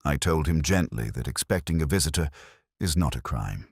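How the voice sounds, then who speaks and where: A man narrating a scripted first-person detective story in a measured, reflective, literary manner. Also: extremely low pitch, lively intonation, slightly rough, no room sound, studio quality.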